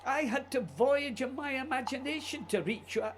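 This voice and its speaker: A man acting in a radio play as a wistful, anxious writer who dreams himself onto the polar ice, speaking in a halting, poetic way. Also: extremely high pitch, animated, a little hiss or hum. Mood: pleading.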